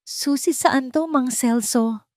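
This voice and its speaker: A woman's voice: high-pitched, slow pace, warm tone, no echo, studio quality, pitched above the performer's own voice. A woman voicing a guarded, wronged heiress in an audio drama. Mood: guarded, emotional. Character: wronged, guarded young heiress returning home in secret.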